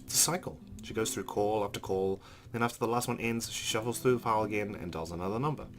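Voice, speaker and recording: A man's voice: on the low side, fast. A man hosting a let's play, reading a visual novel's narration aloud with casual asides.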